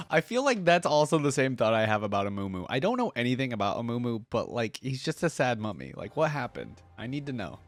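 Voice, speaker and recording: A man's voice: medium pitch, sing-song, fairly quick, dry recording, soft background music. A man hosting a reaction video with casual, conversational commentary.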